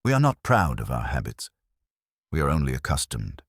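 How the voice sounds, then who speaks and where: A man reading a courteous, quietly firm police inspector in an audiobook, polite, understated and formal. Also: rumbling low, huge pitch swings, moderate pace, no room sound, studio quality, heavily acted.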